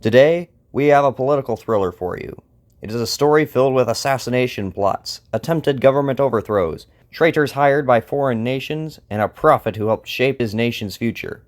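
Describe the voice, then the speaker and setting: A man's voice: mid-range voice, normal speed. A man hosting and narrating a scripted story, with plucked guitar music behind him. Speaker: host and narrator.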